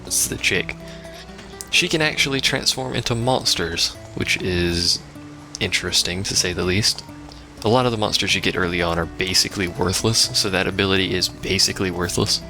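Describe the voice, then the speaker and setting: A man's voice: low voice, pushed and bright. A man giving casual, rambling live game commentary as a let's play host, with wry asides. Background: video game music.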